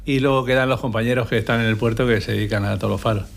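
A man from Spain, a former lighthouse keeper, answering radio interview questions in a relaxed, hesitant way. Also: medium pitch, rapid-fire, creaky, slight background noise. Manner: relaxed, anecdotal, many hesitations. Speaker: guest, retired lighthouse keeper.

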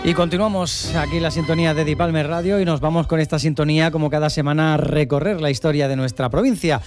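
A man's voice: a little high, steady tone, fast talker. A man introducing a radio segment in an upbeat, announcing manner. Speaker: radio presenter. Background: theme music.

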